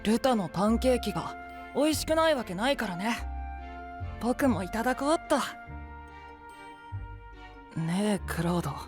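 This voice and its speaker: A man's voice: high-pitched, animated, normal speed, long pauses, music in the background. An actor playing a cheeky, picky, boyish young man in an anime-style voice drama, frank and teasing.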